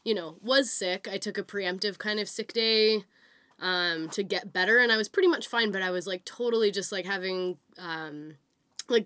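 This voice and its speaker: A woman co-hosting a podcast in casual chat, her voice raspy from a cold, chatty and laughing often. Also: mid-range voice, fairly bright tone, no echo. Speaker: podcast co-host.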